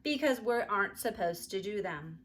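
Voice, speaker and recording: A woman's voice: medium pitch, lively intonation, normal speed, a bit bright, natural voice. A woman voicing a stern but easily amused storybook father, admonishing his children.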